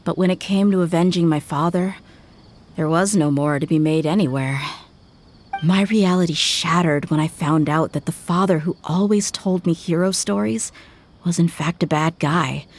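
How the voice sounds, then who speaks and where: A woman's voice: fairly low pitch, normal speed. An actress playing a tough young mercenary woman grieving her father, speaking in an earnest, reflective way. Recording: game audio with music.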